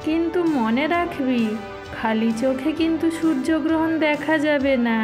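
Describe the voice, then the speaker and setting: A woman's voice: sits high, unhurried, music in the background. A woman voicing a kindly, patient grandmother who narrates and explains in a children's cartoon story. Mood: gently cautioning.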